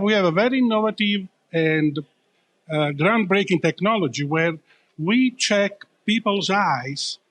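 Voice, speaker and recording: Italian guy, founder being interviewed, kinda high voice, explains stuff with emphasis. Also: rough recording. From Italy. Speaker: company founder and CEO, interview guest.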